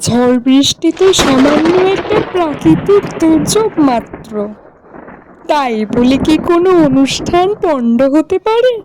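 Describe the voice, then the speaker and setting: A woman voicing a brave village boy in a children's cartoon, urging and reassuring, recorded with storm noise behind. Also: sits high. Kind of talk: children's cartoon story acting.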